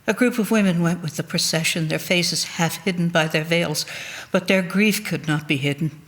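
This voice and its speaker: A woman's voice: on the low side, normal speed. A woman reading a scripted devotional story in a solemn narrative style at a church service. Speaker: reader at a church Good Friday service.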